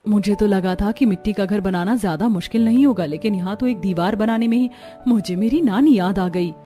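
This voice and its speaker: A woman's voice: medium pitch, a little fast, mellow, faint music behind, lightly acted. A woman playing a practical young village daughter-in-law in a scripted cartoon story. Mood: lively.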